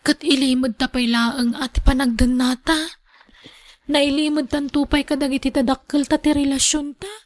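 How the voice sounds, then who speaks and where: A woman's voice: high-pitched, level tone, moderate pace, no room sound, natural voice. A woman with a Filipino accent playing an anxious, plaintive young student in a radio drama. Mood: reluctant, pleading. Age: young adult. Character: anxious, pleading young female student, secretly pregnant.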